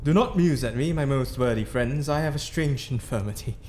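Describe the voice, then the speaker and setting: Casting: male actor as guilt-ridden tragic king, mid-range voice, theatrical and unstable, clearly noisy amateur recording. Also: mid-range voice, normal speed, natural voice. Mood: forced cheer. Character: guilt-haunted Scottish king, terrified, raving, boastful. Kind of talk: dramatic reading of Shakespearean tragedy.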